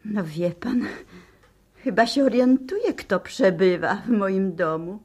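An actress playing a dignified, anxious professor's wife in a radio play, formal and poised. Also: female voice, mid-range voice, expressive, slow, darkish tone, husky. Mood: firm. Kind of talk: 1960s Polish radio play acting.

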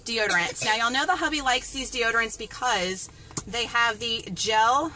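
A woman's voice: a little high, normal speed, bright, forceful tone. Chatty woman filming in a store. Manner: upbeat, talks straight to viewers with "y'all" and "guys". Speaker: couponing vlogger. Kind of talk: casual chatty deal walkthrough. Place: store aisles, then parked car. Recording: noisy store and car background.

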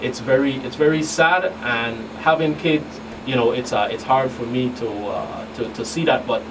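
A middle-aged man from the U.S. Virgin Islands speaking as a law enforcement guest in a halting, deliberate way. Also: medium pitch, fairly even intonation. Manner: halting, deliberate, hesitant phrasing. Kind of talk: talk show interview. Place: small radio studio. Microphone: studio boom microphones in view. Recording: distant mic, clearly noisy room hum.

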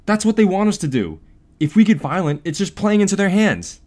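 A woman's voice: medium pitch, big pitch swings, fairly quick, a little mellow. A woman voicing a sensible young newsboy in an audiobook story, urgent and insistent with a raised voice.

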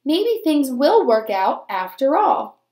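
A woman's voice: high voice, very animated, slow, a little mellow, reverberant, soft background music, full character voice. A woman voicing a grumbling young male penguin with a whiny, exasperated manner in a storybook read-aloud. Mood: reluctantly accepting. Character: grumbling, put-upon young male penguin full of complaints.

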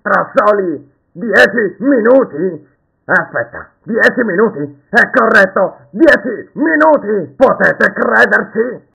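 Swaggering cartoon pirate fox mascot, high gravelly voice, boisterous, very animated delivery. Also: male voice, slow, highs cut off, exaggerated voice. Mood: swaggering, excited. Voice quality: raspy, gravelly. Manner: boisterous, theatrical, addressing children. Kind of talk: children's show mascot performance, fan dub.

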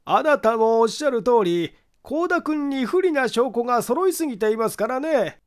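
A man voicing a grave, secretive man who calmly argues a friend's innocence in a polite, deliberate way, in an audiobook mystery story. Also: extremely high pitch, clear, resonant tone, pitched above the performer's own voice. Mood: earnest, explanatory.

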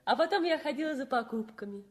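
A gentle, devoted young nurse. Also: female voice, high-pitched, animated, quick pace, husky. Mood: pleading. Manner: soothing, pleading. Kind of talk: 1960s radio play acting. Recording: vintage 1960s radio play recording.

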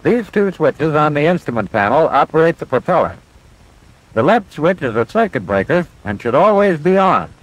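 Lively, higher-pitched flight instructor. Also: male voice, moderate pace, rough recording. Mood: patient, instructive. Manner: clear, methodical instructional delivery. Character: instructor pilot, patient, confident, explaining cockpit controls. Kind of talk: 1940s military training film dialogue. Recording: vintage 1940s film soundtrack.

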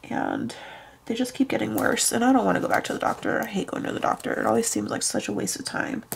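A woman's voice: fairly high pitch, quick pace, gravelly, slight background noise. A young woman with a stuffy, nasal voice, rambling in casual chat while she decorates a planner at her desk. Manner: rambling, chatty, with many fillers. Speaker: planner vlogger. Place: at a desk indoors, hands on a planner.

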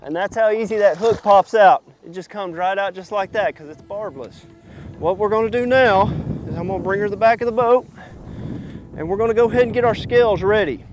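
A man in his thirties with a high voice explains each step like a working guide. He is outdoors on a boat, with faint wind and water noise around him. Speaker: fishing guide. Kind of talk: hands-on instructional demonstration.

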